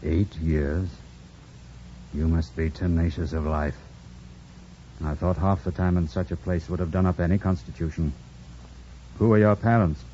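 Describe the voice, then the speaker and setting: An actor playing a stern, abrupt master of the house near forty in an old radio drama, formal and commanding. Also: male voice, low-pitched, steady tone, moderate pace, pauses often, warm tone, slight background noise, lightly acted. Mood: probing. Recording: muffled vintage radio broadcast.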